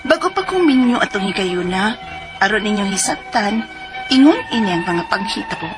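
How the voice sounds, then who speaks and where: A woman's voice: medium pitch, very animated, moderate pace, close to an everyday voice. An actress with a Cebuano accent playing a married mother in her forties who tells her story in a radio drama. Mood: earnest, narrating. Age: 40s. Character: Zenaida, 42-year-old married mother of two, letter sender. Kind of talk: radio drama acting and letter narration. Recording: music bed behind the voice.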